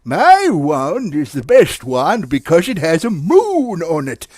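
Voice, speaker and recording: Man playing a boastful wizard, animated and highish. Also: slow pace, no echo, studio quality, full character voice. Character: boastful, cowardly male wizard with a wand. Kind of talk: puppet show storytelling.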